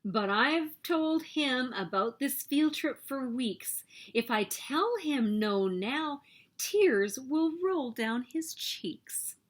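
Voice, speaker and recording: Woman playing a pleading child, high and animated. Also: slow, heavily acted. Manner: pleading, earnest. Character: pleading child who loves a pet dragon.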